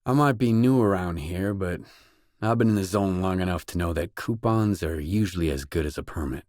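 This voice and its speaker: Casting: male actor for pragmatic wasteland mercenary in a video game; lowish voice, dry close recording, restrained and matter-of-fact. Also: brisk, darkish tone, no room sound, natural voice. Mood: matter-of-fact, guarded. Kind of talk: video game character dialogue.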